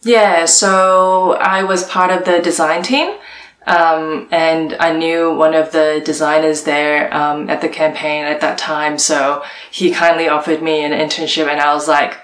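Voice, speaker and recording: A young woman from Hong Kong, answering interview questions in a relaxed, chatty way with lots of fillers. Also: sits low, unhurried, reverberant, poor audio. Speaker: guest, art director.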